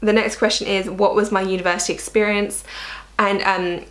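A woman's voice: mid-range voice, level tone, moderate pace, a bit bright. A young British woman chatting casually and effusively to camera in a bedroom, answering questions as a vlogger. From Wales, United Kingdom. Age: young adult. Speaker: YouTube vlogger. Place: bedroom, indoors.

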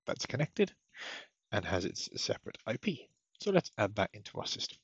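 A man with a fairly low, husky voice walks through software setup as a tutorial presenter. He explains each step methodically. The voice-over sounds slightly muffled. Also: sing-song, normal speed, no echo. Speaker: technical support tutorial presenter.

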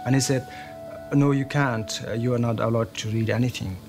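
A man in his thirties from Afghanistan recounting his journey in a documentary interview, plain and thoughtful with pauses. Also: medium pitch, steady tone, over music. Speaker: interviewee, refugee. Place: studio interview set, indoors.

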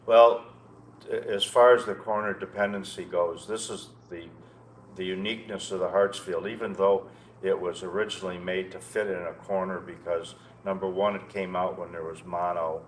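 An older American man in his sixties reminiscing conversationally as an interview guest, halting and often repeating words. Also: low-pitched, steady tone. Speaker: interview guest, loudspeaker maker. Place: indoor show room, seated. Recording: noticeable background noise, room ambience.

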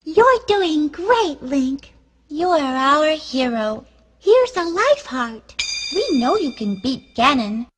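A woman playing an earnest, supportive young fantasy woman with deliberate delivery in a cartoon video game cutscene. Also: high-pitched, sing-song, full character voice. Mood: encouraging.

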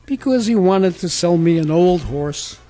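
A man's voice: sits high, lively intonation, unhurried, warm tone, faint music behind. A man voicing an indignant young puppet jester in a storybook tale, protesting insistently. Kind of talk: storybook character dialogue.

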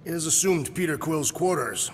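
A man's voice: on the high side, normal speed, lightly acted. Male actor as solemn alien warrior, literal, formal wording, video game, faint background noise.

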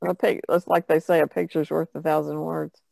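A woman's voice: sits low, level tone, a little fast, creaky, a little mellow, no echo. A woman chatting casually as a participant in a podcast discussion. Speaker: podcast co-host.

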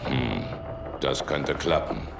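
A man playing a seasoned video game soldier, calm, terse and measured in his delivery. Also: rumbling low, gravelly, over loud music, exaggerated voice. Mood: sober. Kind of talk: video game voice acting, German dub. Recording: loud game battle noise behind.

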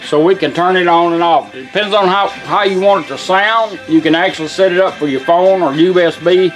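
Higher-pitched folksy man, demonstrating hands-on. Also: brisk, low-quality recording. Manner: plain-spoken, folksy, short step-by-step instructions. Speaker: presenter demonstrating a custom car radio. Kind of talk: casual hands-on product demonstration. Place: indoors at a workbench. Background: guitar music from the radio being demonstrated.

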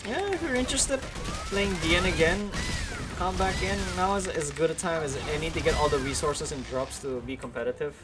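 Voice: mid-range voice, lively intonation, a little fast, over music. A young adult streamer from Indonesia with an American English accent, chatting casually with viewers while playing, laughing often and correcting themself. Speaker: game streamer.

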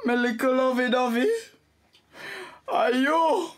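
A man's voice: very high voice, takes it slowly. A man playing a wounded, protective Jamaican husband and father in a radio family drama, theatrical and plaintive. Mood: suffering, pleading.

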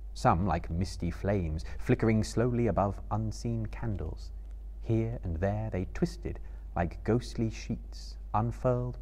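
A man in his thirties giving a dramatic literary narration to a live audience, with measured, solemn storytelling. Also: lowish voice, warm tone. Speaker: reader performing for a live audience. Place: small indoor stage before an audience.

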